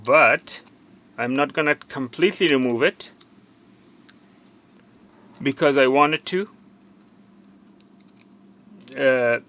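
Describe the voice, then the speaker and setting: A man's voice: mid-range voice, slow pace, pauses often, sharp tone. A man giving an unscripted hands-on tutorial as a repair technician, instructive and cautioning, often repeating himself for emphasis.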